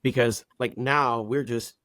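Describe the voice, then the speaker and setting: Podcast guest, male, Puerto Rican accent, mid-pitched, upbeat and agreeable, dry booth sound. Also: steady tone, a little slow, fairly bright tone, no room sound. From Puerto Rico. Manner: enthusiastic, agreeable backchanneling. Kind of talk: casual podcast conversation.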